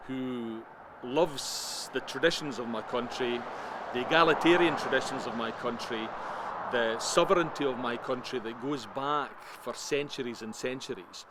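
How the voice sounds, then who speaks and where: A man's voice: medium pitch, moderate pace. A Scottish man giving an earnest interview outdoors, stacking points into emphatic lists. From Scotland. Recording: street noise behind.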